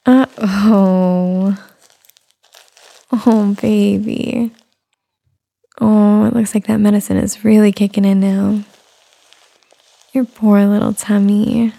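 A woman in a soft-spoken roleplay, whispering and cooing in a soothing way. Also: medium pitch, fairly even intonation, slow pace, long pauses. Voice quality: whispery, breathy. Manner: cooing, soothing, talks as to an infant. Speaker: roleplay performer.

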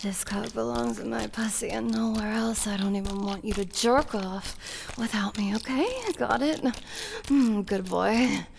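A woman playing a possessive, adoring elven princess in an intimate adult audio roleplay, breathy and moaning, often dropping into whispers. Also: mid-range voice, steady tone, a little slow, slight background noise. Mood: adoring, commanding.

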